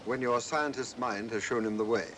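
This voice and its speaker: Male actor as urbane gentleman, medium pitch, affable, shrewd, smooth, vintage mystery film, slight hiss. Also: normal speed, lightly acted. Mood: agreeable. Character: urbane, affable, quietly shrewd gentleman, doctor's drinking companion. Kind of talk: 1940s mystery film acting. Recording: hissy old film soundtrack.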